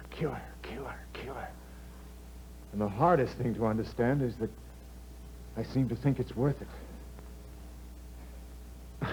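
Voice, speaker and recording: An actor playing an exhausted, haunted husband and novelist who speaks in a weary, brooding way with sudden outbursts, in a 1950s radio drama. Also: male voice, medium pitch, close to an everyday voice. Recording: dull 1950s radio broadcast with faint hum.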